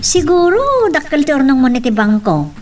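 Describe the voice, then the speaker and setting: Lively high-pitched woman, played by an actress, teasing friend. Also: moderate pace. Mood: pleased. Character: lively young female friend of a farmer, teasing. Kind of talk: Ilocano audio drama acting. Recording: distant mic with background noise.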